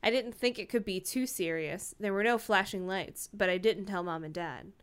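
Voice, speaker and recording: A woman's voice: mid-range voice, moderate pace, clean tone. A woman co-hosting a podcast, reading a novel aloud and adding wry, irreverent asides. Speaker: podcast co-host.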